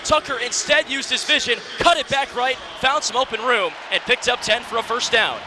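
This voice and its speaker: A man's voice: very high-pitched, moderate pace. A man in his early twenties calling college football live on radio in short, vivid, present-tense phrases. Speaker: play-by-play announcer. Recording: stadium crowd and loud background noise.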